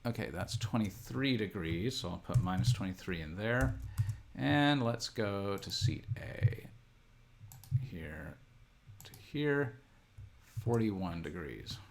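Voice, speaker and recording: A middle-aged man speaks in a fairly low voice with plenty of vocal fry. He is an instructor working through a demonstration at his computer, thinking aloud methodically and haltingly, one step at a time. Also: expressive, slight background noise. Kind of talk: unscripted screen-share tutorial, thinking aloud. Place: indoor room at a desk, computer screen recording.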